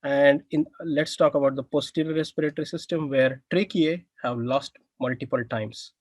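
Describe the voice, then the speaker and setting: A man's voice: on the high side, steady tone, normal speed, no room sound. Indian-accented man presenting research. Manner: many "uh" fillers, enthusiastic about findings. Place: indoors, air-conditioned room.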